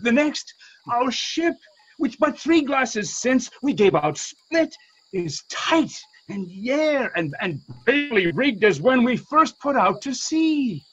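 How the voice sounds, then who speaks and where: Muffled, husky man as an excitable sailor. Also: extremely high pitch, exaggerated voice. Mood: bewildered, excited. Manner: halting, excitable. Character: flustered, amazed ship's boatswain. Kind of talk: Shakespearean radio play acting.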